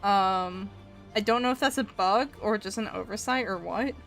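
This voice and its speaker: A woman's voice: medium pitch, moderate pace, creaky, fairly bright tone. Woman, 20s, Scottish-Canadian accent, giggly casual streamer chatting over faint music. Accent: mixed Scottish and Canadian. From Scotland. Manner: chatty, laughs often, answers chat aloud. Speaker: art streamer. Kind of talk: casual live-stream chat while drawing.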